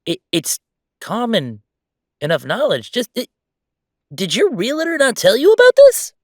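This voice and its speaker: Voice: medium pitch, huge pitch swings, measured pace, no room sound, studio quality. An actor playing a chatty, sardonic ghost roommate in a roleplay audio, talking in a casual, teasing, exclamatory way. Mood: incredulous, teasing. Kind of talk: roleplay audio acting, conversational.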